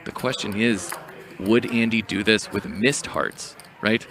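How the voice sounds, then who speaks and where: A man narrating a scripted poker hand breakdown as a commentator, in a measured, explanatory way. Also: fairly low pitch, fairly even intonation, noisy background.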